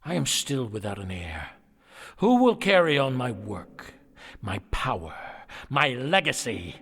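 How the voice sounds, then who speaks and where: A man's voice: medium pitch, big pitch swings, unhurried, fairly bright tone, husky, heavily acted. An actor playing an imperious, impatient, brooding king in a theatrical audio drama, speaking with command. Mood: anguished, brooding. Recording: long echoing hall reverb.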